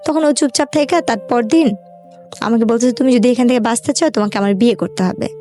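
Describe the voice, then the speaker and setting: High-voiced Bangladeshi woman telling her story. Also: fast, dark tone, faint music behind. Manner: colloquial, run-on narration with quoted dialogue. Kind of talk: personal storytelling in an interview, recounting conversations.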